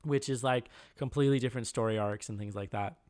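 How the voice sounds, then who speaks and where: A man's voice: mid-range voice, fast, smooth, no room sound, clean studio sound. A man in his late twenties co-hosting a podcast, chatting casually and rambling with lots of "like" as he retells stories. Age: 20s. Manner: rambling, heavy on "like", animated retellings. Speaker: podcast co-host. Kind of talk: casual chat.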